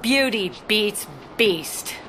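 A woman's voice: mid-range voice, lively intonation, very slow, slight background noise, heavily acted. A woman playing a tough, wry young superheroine in a video game, brash and sardonic. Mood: confident, wry. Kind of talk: cinematic video game acting. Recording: loud cinematic game music behind.

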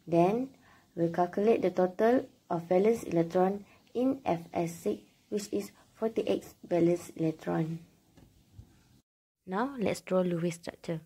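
Young female tutorial narrator, lowish husky voice, careful scripted read. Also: mellow. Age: young adult. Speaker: student presenter.

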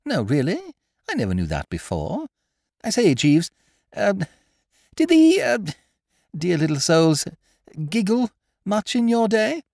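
A man voicing a breezy, chummy young gentleman of leisure who frets easily. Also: high-pitched, wildly swooping pitch, a little slow, vocal fry, long pauses, no echo, studio quality, voice pushed up, full character voice. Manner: breezy, chummy upper-class banter.

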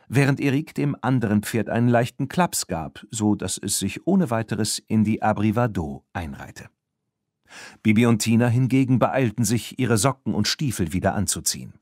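Male children's audiobook narrator, lowish pitch, expressive storytelling, dry studio sound. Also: no room sound.

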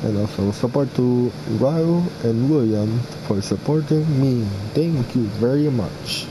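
A young man giving casual gameplay commentary, halting as he muses aloud, close to the mic. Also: medium pitch. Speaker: gaming video host. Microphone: mic placed right in front. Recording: steady background hum and noise.

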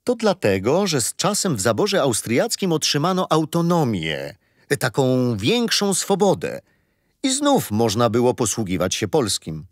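An actor playing a patient, gentle grandfather telling children a history story in a radio play. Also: mid-range voice, huge pitch swings, unhurried, no echo, studio quality. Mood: patient, fond. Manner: gentle, didactic.

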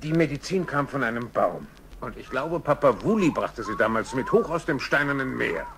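A man's voice: mid-range voice, very animated, moderate pace, gravelly, fairly bright tone, reverberant, slight background noise. A man playing a thoughtful older animal adviser, telling the tale in a children's radio play.

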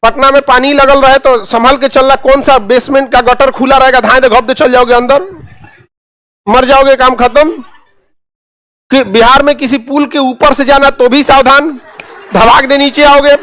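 A man's voice: extremely high pitch, little pitch movement, moderate pace, fairly bright tone. A man in his thirties with a Bihari accent, teaching in an informal, sarcastic, colloquial style full of rhetorical questions. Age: 30s. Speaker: coaching teacher. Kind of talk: informal classroom lecture with commentary. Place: indoor classroom studio at a presentation board.

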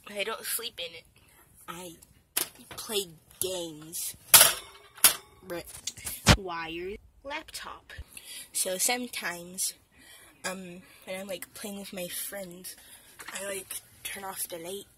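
A young girl with a high-pitched voice giggles and rambles, often half-whispering, as she films a casual vlog on her phone in a small dark closet. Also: slow, gravelly, pauses often. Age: young, a minor. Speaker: vlogger filming her gaming corner. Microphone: handheld phone camera. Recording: noisy phone recording with clicks.

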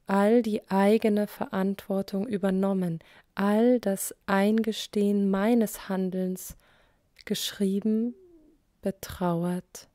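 Female poetry reader: extremely unhurried, warm soft tone, breathy line endings, measured pauses. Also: medium pitch, dry recording, clean studio sound. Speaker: poet. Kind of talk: spoken poetry recitation in short phrases.

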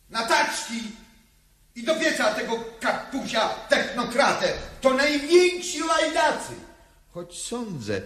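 A defiant old woman declaims a radio play monologue in a husky voice. The delivery is theatrical and slow, with pitch that swings widely between hushed confiding and outcry. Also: exaggerated voice. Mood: agitated, declamatory, then musing.

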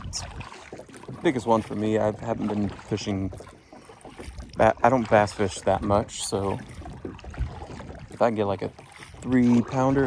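A man's voice: lowish voice, gravelly, darkish tone. A man talking casually and playfully to himself as he films an outdoor vlog in a kayak on the water, rambling along. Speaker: host. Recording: noisy outdoor recording on the water.

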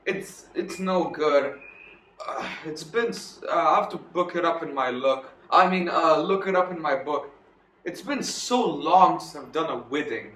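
A man's voice: fairly high pitch, a little slow, a bit bright, a little hiss or hum. A man playing a pompous, muddled old turkey clergyman in a theatrical comic monologue, flustered and stumbling over words. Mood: flustered, apologetic. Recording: echoey room, distant mic.

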